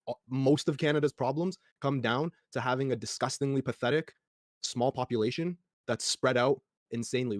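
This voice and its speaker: A man's voice: mid-range voice, no echo, studio quality. A man from Iran, a native Persian speaker, speaking bluntly and indignantly in a heated roundtable discussion. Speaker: roundtable panelist.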